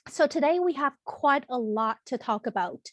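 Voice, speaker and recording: A woman's voice: high-pitched, animated, measured pace, dry recording. A woman from Taiwan giving an online information presentation to prospective students, speaking in a friendly, explanatory way with frequent ums and restarts. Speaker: college representative presenting to students. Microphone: computer mic on a video call.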